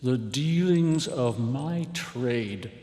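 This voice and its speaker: An actor playing the mournful, tormented ghost of a dead businessman in audio theatre, declaiming slowly and solemnly. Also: mid-range voice, animated, darkish tone. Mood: anguished, wailing. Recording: long cavernous echoing reverb.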